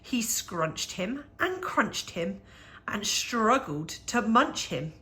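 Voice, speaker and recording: A woman reads a children's picture book aloud in a bright, clear-cut tone. Her pace is slow and measured. She delivers the rhyming verse expressively, leaning on key words for drama. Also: mid-range voice. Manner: measured, animated storytelling with dramatic emphasis. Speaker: children's story reader.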